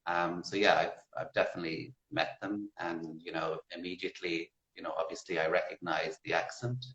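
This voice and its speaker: Low-pitched Irish man in a roomy space. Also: level tone, a bit bright. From Ireland. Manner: hesitant, thoughtful, pausing to rephrase. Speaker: guest, English teacher. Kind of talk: casual interview chat. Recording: roomy, slightly dull sound.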